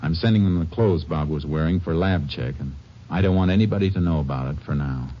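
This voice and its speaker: An actor playing a calm, dogged Texas Ranger investigator in a terse, polite 1950s radio drama style. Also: male voice, very deep voice, brisk. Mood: grave, courteous. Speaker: lead actor, narrator. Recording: dull, hissy old radio recording.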